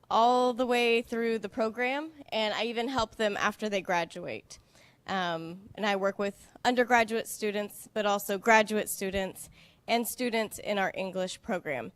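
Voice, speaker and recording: A woman giving a slow, patient presentation to students, checking they understand as she goes. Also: mid-range voice, clear, resonant tone, no echo. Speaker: international student services director. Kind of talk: slow, simple presentation for English learners.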